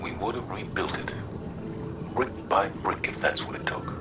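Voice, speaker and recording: An older man playing a grave, steady military commander in scripted video game radio dialogue. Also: moderate pace, natural voice. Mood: reflective. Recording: radio call with clear rumble.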